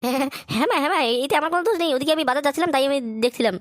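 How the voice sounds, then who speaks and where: Tattletale cartoon boy acted out, high-pitched, jittery, foul-mouthed and extremely quick. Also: very noisy, lightly acted. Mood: nervous. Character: cheeky tattletale son, nervous around his scolding mother. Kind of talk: amateur comic cartoon dubbing.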